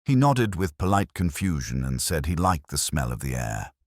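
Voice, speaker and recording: A man playing a brooding, world-weary police inspector, narrating a mystery in measured, literary, ruminative first person. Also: extremely low pitch, sing-song, no room sound, clean studio sound. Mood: brooding, reflective.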